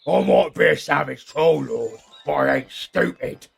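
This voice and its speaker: A man voicing a big, savage but cheerful and naive troll henchman in an audio comedy drama, with a gravelly voice and blunt, colloquial speech. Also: a little high, measured pace, faint music behind, full character voice. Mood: cheerful, matter-of-fact. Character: big muscly savage troll chieftain, simple, cheerful, literal-minded henchman.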